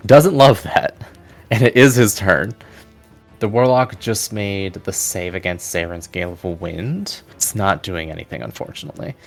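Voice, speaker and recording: A man's voice: low voice, moderate pace, creaky, soft background music. A man narrating combat as the game master of a tabletop game. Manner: descriptive, steady narration of combat.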